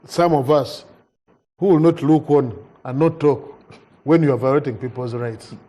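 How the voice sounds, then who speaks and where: A man, a member of parliament in a TV panel debate, speaking haltingly with repeated words and asides. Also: a little high, dark tone. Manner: halting, repeats words, asks "you understand?".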